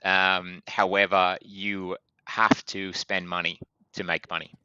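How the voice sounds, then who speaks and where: A man with a strong Australian accent, a guest on a business podcast, explaining in a brisk way with many fillers. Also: low-pitched, little pitch movement, pushed and bright, clear, resonant tone, dry recording, muffled recording. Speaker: guest from a funding company. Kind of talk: podcast interview.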